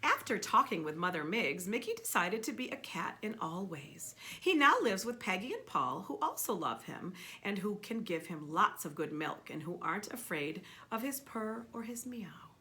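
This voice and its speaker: A woman reading a children's story aloud expressively and emphatically, pausing to ask a child questions. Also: mid-range voice. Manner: expressive, emphatic, with repeated words for effect.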